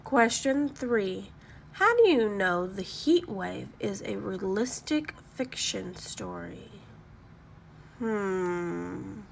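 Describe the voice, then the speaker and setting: A woman reading aloud to children like a teacher, expressive and deliberate, explaining and asking questions. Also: mid-range voice, vocal fry, slight background noise.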